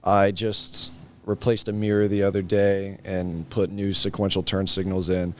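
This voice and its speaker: Lowish-voiced, understated young man, noisy background. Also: flat delivery, a little slow. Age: late teens. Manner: modest, understated. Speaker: guest, high school senior. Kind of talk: casual chat.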